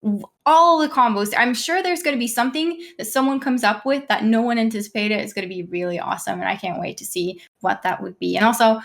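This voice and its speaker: A woman's voice: fairly high pitch, quick pace, creaky, fairly bright tone. A woman whose first language is Swedish, a tournament caster, chatting cheerfully and enthusiastically. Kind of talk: casual panel chat.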